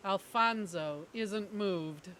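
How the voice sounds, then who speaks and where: A woman's voice: mid-range voice, drawn-out words, fairly bright tone. A woman narrating a scripted story as a storyteller, reading out the stage directions. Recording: clearly noisy background ambience.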